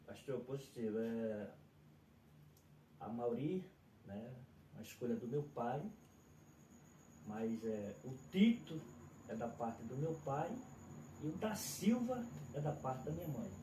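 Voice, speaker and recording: Man, Alagoas accent, evangelist guest, plainspoken, respectful, mellow voice, roomy echo, distant from mic. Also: medium pitch, animated, slow pace, pauses often. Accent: Alagoas Northeastern Brazilian. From Alagoas, Brazil. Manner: plainspoken, respectful, keeps addressing the pastor. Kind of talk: testimony interview answers. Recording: echoey room from afar with faint noise.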